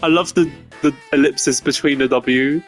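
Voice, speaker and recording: A man's voice: on the high side, steady tone, moderate pace. A man giving casual live commentary as he streams a game, with game music under his voice.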